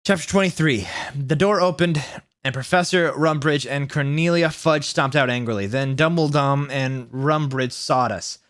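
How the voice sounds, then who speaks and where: A man's voice: on the high side, normal speed, a bit bright, clean tone. A man hosting a comedic dramatic read-aloud, stumbling over words and breaking into exasperated asides, sounding mentally worn out. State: mentally worn out from long reading. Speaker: YouTube host reading fan fiction aloud.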